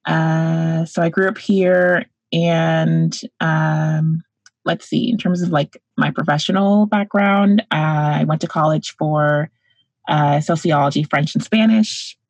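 Podcast guest, young woman from Florida, low voice, dry close sound, relaxed and filler-heavy. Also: slow, dry recording. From Central Florida, United States. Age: young adult. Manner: relaxed, lots of "like" and "um", backchannels often. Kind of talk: casual conversational interview.

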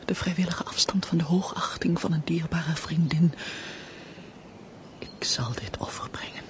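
A woman's voice: sits low, measured pace, whispered. A woman acting a sorrowful, penitent baroness in a Dutch radio play, in an anguished, sighing, theatrical manner. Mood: breathy anguish. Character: sorrowful, penitent, guilt-ridden fallen baroness. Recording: noisy old radio play recording.

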